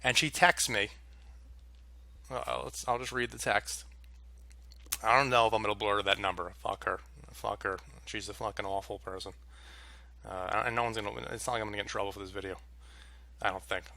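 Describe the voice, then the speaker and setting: A man's voice: on the low side, pushed and bright, no room sound. A young man telling a story to camera in a casual, exasperated rant with plenty of swearing. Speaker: vlogger.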